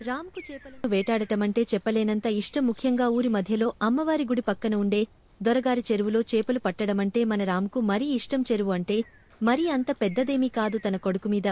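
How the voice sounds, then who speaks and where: A woman's voice: on the high side, brisk, dark tone, no echo. A woman reading a scripted children's story as a storyteller. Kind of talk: scripted narration of children's stories.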